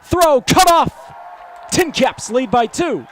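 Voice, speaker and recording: A man's voice: very high voice, sing-song, takes it slowly, shouting, some chatter behind, slight background noise. A man with an American accent calling baseball play-by-play as a live broadcast commentator. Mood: excited.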